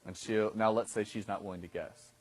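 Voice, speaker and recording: A man's voice: on the low side, fairly even intonation, brisk, breathy edge, no room sound. A man in his thirties teaching a class in a conversational way, often checking in with his listeners as he explains. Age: 30s. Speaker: dating coach instructor. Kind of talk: instructional talk to a class.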